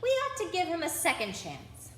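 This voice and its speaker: A woman's voice: extremely high pitch, wildly swooping pitch, normal speed, fairly bright tone, voice pushed up, exaggerated voice. A woman voicing a little fat monk ghost from a storybook, forgiving and pleading. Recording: echoey room with background noise.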